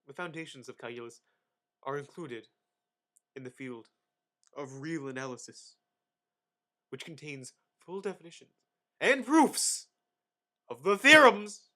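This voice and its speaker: Higher-voiced man reading with grandiose drama. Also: animated, bright, forceful tone. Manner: mock-grandiose, with sudden emphatic swells and dramatic pauses. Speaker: amateur reader. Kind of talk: theatrical dramatic reading of encyclopedic text.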